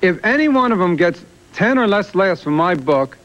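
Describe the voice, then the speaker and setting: Animated man arguing his case. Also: high-pitched, normal speed, muffled recording. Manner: argumentative, laying out a challenge point by point. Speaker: guest comedian and author. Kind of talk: talk show chat. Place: TV studio set.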